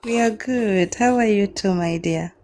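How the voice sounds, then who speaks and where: A woman's voice: medium pitch, a little slow, darkish tone. A woman with a Ugandan accent hosting a casual live discussion with guests.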